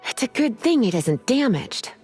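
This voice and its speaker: A woman voicing a languid, teasing adult librarian mage in a video game, speaking in a slow, drawling way. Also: on the high side, big pitch swings, a little mellow. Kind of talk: game voice acting. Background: faint game music.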